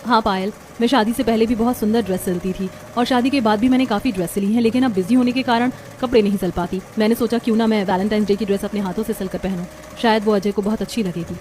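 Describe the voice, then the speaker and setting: A woman voicing polite young women of an Indian family in a cartoon story, with expressive delivery. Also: medium pitch, fast, few pauses, a little hiss or hum, lightly acted. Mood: curious. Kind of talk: scripted cartoon story dialogue.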